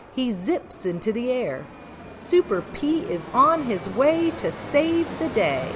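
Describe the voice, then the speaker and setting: A woman reading a children's picture book aloud in an expressive, deliberate way with emphasis on short phrases. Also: medium pitch, background noise. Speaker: read-aloud storyteller for children.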